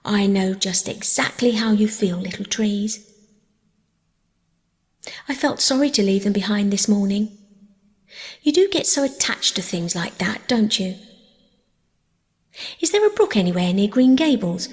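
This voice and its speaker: A woman's voice: medium pitch, lively intonation, unhurried, long pauses, lightly acted. Woman as a gushing young orphan girl, long reverb. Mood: dreamy, earnest. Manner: gushing, talkative, wordy. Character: chatty, dreamy, eager young orphan girl. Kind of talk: audiobook character voice.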